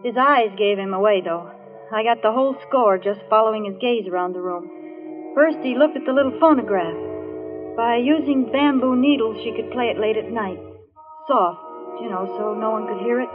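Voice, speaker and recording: Woman acting jittery hard-boiled taxi dancer girl, high pitched, old radio thriller, thin phone-like sound. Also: moderate pace, close to an everyday voice. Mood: grim narrating. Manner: hard-boiled, slangy, wary. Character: tough, jittery young dance hall hostess narrating her story. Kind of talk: 1940s radio crime drama acting. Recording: tinny band-limited old radio, music behind.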